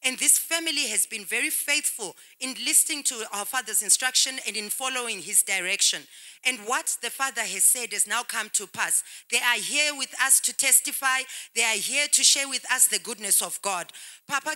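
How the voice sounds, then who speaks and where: Bright-voiced woman presenting a testimony. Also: fairly high pitch, animated, moderate pace. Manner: emphatic, addresses people of God. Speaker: church presenter. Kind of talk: testimony introduction.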